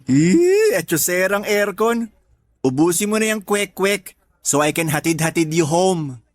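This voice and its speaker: A man's voice: high voice, sing-song, measured pace, no room sound. A man playing a young, humble, working-class Filipino man in a radio drama, smitten, teasing and plain-spoken. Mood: playful.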